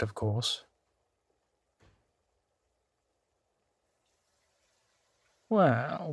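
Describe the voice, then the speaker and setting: A man's voice: medium pitch, no echo. A man voicing a suave, genteel vampire centuries old, speaking unhurriedly and formally to the listener in an intimate audio roleplay. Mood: courteous, hospitable. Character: suave male vampire, four centuries old, genteel, playfully amused host.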